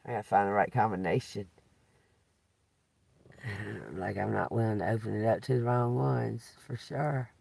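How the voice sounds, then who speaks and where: A man hosting a live stream, chatting loudly and laughing often while telling profane stories. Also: fairly low pitch, steady tone, moderate pace, gravelly, long pauses, husky, no echo. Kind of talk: rambling casual live stream chat.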